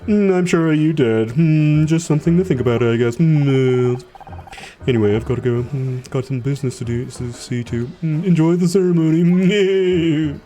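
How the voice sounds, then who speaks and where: A man voicing a smug, condescending ex-husband in a game read-through, speaking slowly. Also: on the high side, dark tone, pitched above the performer's own voice, lightly acted. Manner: slow, smug, drawn-out.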